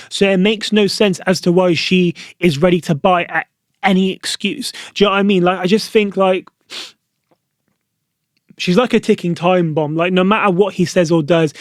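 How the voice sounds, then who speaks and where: A man's voice: sits high, no room sound, studio quality. A young British man hosting a solo podcast, talking casually to camera and chatty, with lots of "like" fillers. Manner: chatty, frequent "like" fillers, opinionated. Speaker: podcast host.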